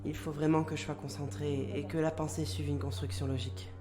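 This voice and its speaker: A woman's voice: sits low, a little fast, over music, natural voice. A woman as a composed, direct telepath consultant. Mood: calm, respectful. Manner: calm, direct, businesslike. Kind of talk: audio drama acting.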